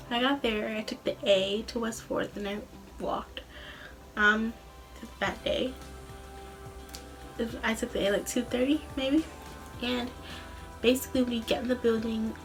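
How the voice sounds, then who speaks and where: A woman's voice: on the high side, rough recording. A young adult woman speaking as a vlogger in a casual, rambling, informal storytelling way. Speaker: vlogger, student singer.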